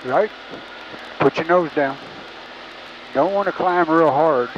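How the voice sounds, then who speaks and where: A man's voice: on the high side, takes it slowly. A man coaching a pilot from inside a small aircraft cockpit, casual, encouraging and reassuring. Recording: muffled audio, loud cockpit noise.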